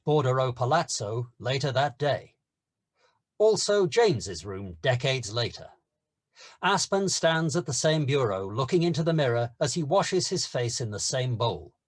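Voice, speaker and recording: A man giving scripted narration, reading stage directions at a screenplay table read. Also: medium pitch.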